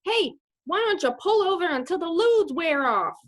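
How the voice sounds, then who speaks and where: A woman's voice: very high voice, lively intonation, normal speed, pitched above the performer's own voice. A woman voicing a brash, nosy young male cab driver in a comedic radio play. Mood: brash, prying. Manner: blunt, pushy.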